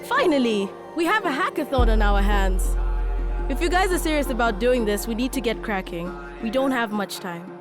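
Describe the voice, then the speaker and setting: An actress playing an earnest, supportive young member of an activist collective in an animated motion comic. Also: female voice, a little high, moderate pace, music in the background, lightly acted. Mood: determined.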